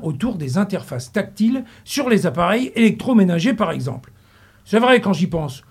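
A middle-aged man with a husky, high-set voice reads a playful radio column in the studio. He lingers on puns and slips into mock-solemn asides. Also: darkish tone. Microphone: studio mic.

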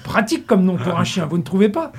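A man's voice: high voice, very animated, fast talker, breathy edge. A middle-aged man reading a humorous radio column in a studio, full of wordplay and mock-grand asides. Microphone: studio mic.

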